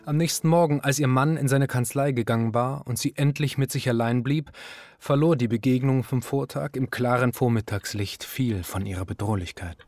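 An actor as the composed, serious narrator of a literary German radio drama. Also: male voice, medium pitch, darkish tone, dry recording, close to an everyday voice. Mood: measured. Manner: measured, serious. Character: composed literary narrator observing a troubled wife.

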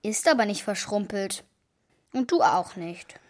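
A woman's voice: medium pitch, expressive, unhurried, lightly acted. A female performer playing an earnest, insistent young girl in a German children's radio play. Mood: stubborn, hurt.

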